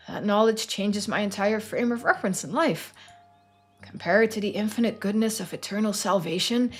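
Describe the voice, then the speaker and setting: A woman's voice: mid-range voice, lightly acted. Woman playing an earnest, devout small-town pastor. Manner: hesitant, earnest, preachy.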